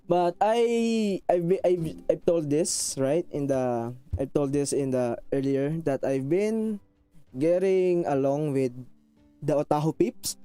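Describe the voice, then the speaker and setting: A man's voice: on the high side, takes it slowly, warm tone, clean tone, no room sound, soft background music. A man from the Philippines with a thick Filipino accent, chatting casually with viewers on a live stream, rambling and restarting sentences, apologetic and a little awkward. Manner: rambling, frequent restarts, self-conscious and apologetic; switches into Tagalog phrases. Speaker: VTuber streamer.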